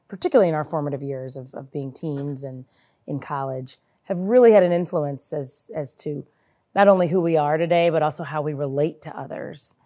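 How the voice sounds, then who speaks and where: A woman with a very low voice leads a panel discussion. She asks earnest, measured questions and draws out the others. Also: dark tone. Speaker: moderator.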